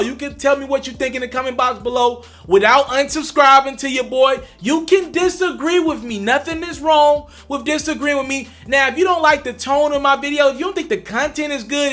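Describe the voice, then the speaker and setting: A man's voice: extremely high pitch, a bit bright. A young man hosting a commentary vlog, talking straight to his viewers with emphatic, repeated points. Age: young adult. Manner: emphatic, addresses viewers directly, repeats for stress. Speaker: commentary channel host. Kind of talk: direct-to-camera commentary.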